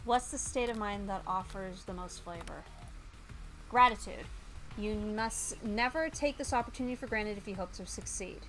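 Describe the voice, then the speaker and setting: A woman's voice: medium pitch, moderate pace, soft background music. A woman doing live game stream commentary, reading on-screen text aloud and breaking into exasperated exclamations. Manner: exclaims loudly in exasperation, mutters while reading. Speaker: VTuber streamer.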